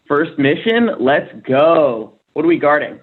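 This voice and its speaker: Guy playing cocky eager gamer, husky, phone-like sound. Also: on the high side, big pitch swings, measured pace, heavily acted. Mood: flippant, eager. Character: flippant, eager male gamer newcomer. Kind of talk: table read of a comedy screenplay. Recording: tinny phone-like call audio.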